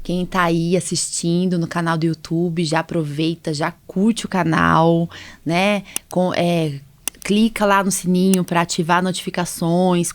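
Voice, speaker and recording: A woman's voice: on the low side, a little mellow, no echo. A woman with a Brazilian accent hosting a casual podcast as a doctor, explaining things chattily with frequent tag questions. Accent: Brazilian Portuguese. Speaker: podcast host, allergist doctor.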